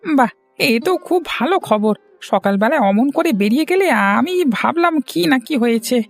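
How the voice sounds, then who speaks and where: A woman's voice: high-pitched, lively intonation, moderate pace, faint music behind. A woman voicing a caring, mildly scolding elder brother in a cartoon moral story, with a familiar, fussing manner.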